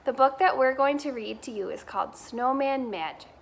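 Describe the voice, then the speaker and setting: Animated, high-voiced woman reading a storybook. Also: normal speed, a little hiss or hum. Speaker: school staff member reading a bedtime story. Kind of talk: storybook read-aloud for children.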